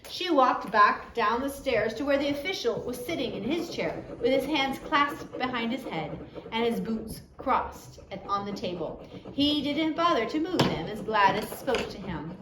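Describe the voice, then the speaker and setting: A woman's voice: on the high side, expressive, a little slow. A woman narrating a story aloud as an audiobook reader. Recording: roomy echo, faint background noise.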